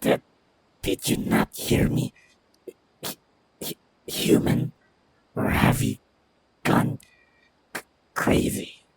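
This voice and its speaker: A man's voice: takes it slowly, long pauses, no echo, close to an everyday voice. A man playing a menacing, vengeful feral vampire in an audio roleplay, stammering in broken, growled words. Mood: halting, menacing. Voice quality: gravelly, creaky, breathy growl. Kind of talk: audio roleplay villain acting.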